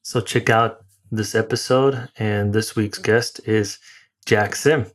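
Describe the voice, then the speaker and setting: A man's voice: lowish voice, fairly even intonation, takes it slowly. A man hosting a podcast in a friendly, conversational way, often restarting his sentences. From United States. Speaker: podcast host. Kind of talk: casual interview chat.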